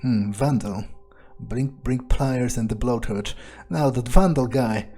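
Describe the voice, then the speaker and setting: A man plays a cynical male vampire in a game roleplay, thinking aloud in a slow, mellow voice. He is halting and sardonic, crude in his language, and cleanly recorded over faint music. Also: mid-range voice. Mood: musing. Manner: halting, musing, sardonic, swearing. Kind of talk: improvised in-character video game playthrough.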